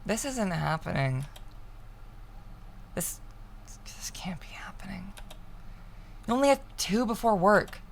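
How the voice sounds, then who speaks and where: A woman reading a visual novel aloud as dramatic narration, with hushed, breathy phrase endings. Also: mid-range voice, big pitch swings, slow pace, pauses often, slight background noise. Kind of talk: dramatic second-person story narration.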